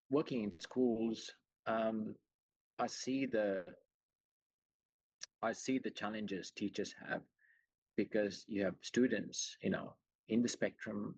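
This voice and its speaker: A man's voice: on the low side, a little mellow, breathy edge. A middle-aged man from Sri Lanka who has long lived in Australia, answering interview questions earnestly and conversationally over a video call. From born in Sri Lanka, long resident in Australia. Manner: earnest, explanatory, frequent you-knows. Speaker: chief executive, interview guest. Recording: roomy, dull video-call audio.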